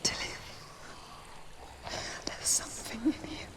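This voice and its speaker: A woman's voice: slow, close to an everyday voice. Whispering, haunted young warrior woman played by a woman. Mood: afraid, tense. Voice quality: breathy, whispery. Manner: hesitant, fearful, fragile. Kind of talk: cinematic video game acting.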